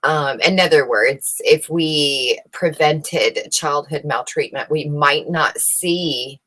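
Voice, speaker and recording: A woman's voice: sits low, slow, fairly bright tone, poor audio. A woman giving casual commentary on research she reads out, opinionated and emphatic, often putting rhetorical questions to the listener. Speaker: host reading and commenting on research papers.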